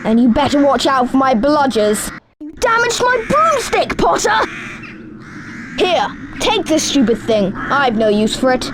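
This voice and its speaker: High-pitched sneering schoolboy bully. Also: female voice, very animated, a little slow, noisy background. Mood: angry, petulant. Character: sneering spoiled schoolboy bully, about eleven. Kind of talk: video game character acting.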